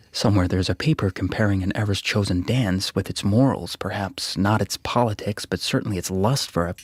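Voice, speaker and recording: An actor playing a jumpy, self-mocking young graduate student in a radio drama, husky and nervously muttering. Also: male voice, fairly low pitch, normal speed, no room sound. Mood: nervously wry. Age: young adult. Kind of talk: radio drama acting, interior monologue.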